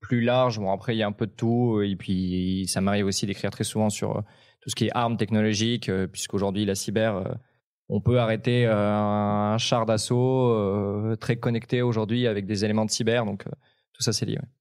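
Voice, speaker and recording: Young man, low voice, lots of ums. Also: little pitch movement, brisk, a little mellow. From Ukraine. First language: Ukrainian. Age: young adult. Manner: hesitant, many "euh" fillers. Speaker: tech journalist guest. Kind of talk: panel talk show discussion.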